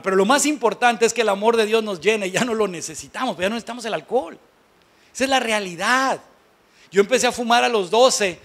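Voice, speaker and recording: A man with a Mexican accent preaching as a pastor in a conversational, blunt and colloquial way, leaning on rhetorical questions for emphasis. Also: high-pitched. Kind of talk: conversational sermon to a congregation.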